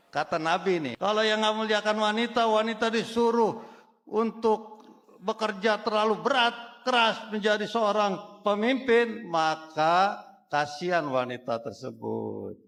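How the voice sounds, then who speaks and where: A man's voice: a little high, creaky, a bit bright. A male political candidate with an Indonesian accent giving an emphatic debate speech in a reverberant hall. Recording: reverberant hall sound system.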